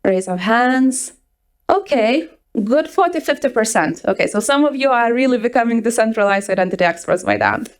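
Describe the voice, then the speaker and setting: A young woman from Lithuania with a Lithuanian accent, giving a lively conference talk with a personal story to a live audience. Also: fairly high pitch, moderate pace. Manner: energetic, chatty, repeats words for emphasis. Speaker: conference speaker. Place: conference hall before an audience.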